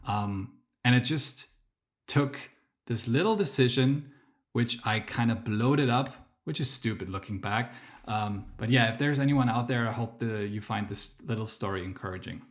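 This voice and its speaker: A man's voice: mid-range voice, normal speed. A man whose native language is German, telling his story as a podcast guest, with many fillers and restarts. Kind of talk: casual interview storytelling.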